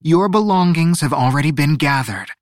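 A composed, authoritative woman played by a male narrator addresses her young charges in an audiobook. Her voice is lifted in pitch, with formal, measured wording and a firm manner. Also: animated, no room sound, studio quality, natural voice.

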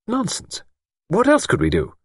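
A man puts on a brisk young woman for an audiobook, pitched up and very animated. She is forthright and self-assured, with a short, matter-of-fact way of speaking. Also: breathy edge, dry recording, studio quality, heavily acted. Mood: curt. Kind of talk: audiobook character acting.

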